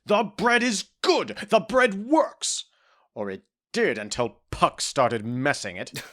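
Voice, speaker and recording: A fussy fairy king, theatrically aggrieved, in a comedic audio drama. The voice is forceful and sharp, leaping around in pitch as he complains. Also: lively intonation, takes it slowly. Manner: indignant, theatrically exasperated.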